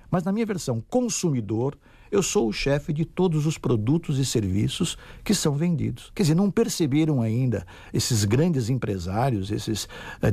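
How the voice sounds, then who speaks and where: A man argues insistently, his mellow voice rising and falling with lively pitch. The sound is dry and close, as in a radio interview. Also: husky, no room sound. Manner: insistent, rhetorical. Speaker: guest.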